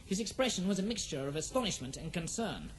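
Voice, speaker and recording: A man narrating a scripted fantasy audio drama in a theatrical, measured storytelling manner. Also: sits high, sing-song, a little hiss or hum.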